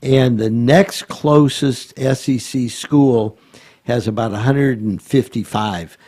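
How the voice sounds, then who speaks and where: A man hosting a casual talk show, speaking haltingly with many filler sounds. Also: mid-range voice, a little mellow. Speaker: host and law firm founder.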